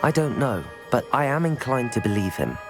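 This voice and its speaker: An actor voicing a cautious, loyal teenage student in a video game, polite and measured in a formal way. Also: mid-range voice, sing-song, music in the background. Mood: agreeable.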